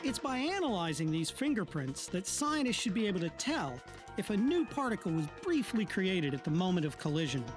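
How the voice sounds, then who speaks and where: A man's voice: high voice, a little fast. A man narrating a science documentary as the show's correspondent, explaining in a scripted way. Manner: lively, explanatory delivery. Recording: narration over loud music.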